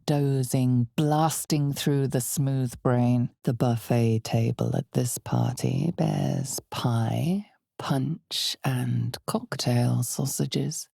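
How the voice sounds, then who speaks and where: Dark fantasy game narrator, solemn n theatrical, real low gravelly voice, kinda hushed. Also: female voice, no echo, studio quality, heavily acted. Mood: wry, dramatic. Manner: measured, deliberate, theatrical delivery with weighted pauses.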